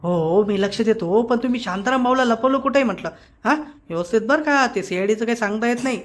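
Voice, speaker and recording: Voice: medium pitch, lightly acted. An actor playing an eager, nervous gang informant in a comic cartoon, repeating things and making defensive excuses. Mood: obliging. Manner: hurried, repetitive, defensive. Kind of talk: comic Marathi cartoon dialogue.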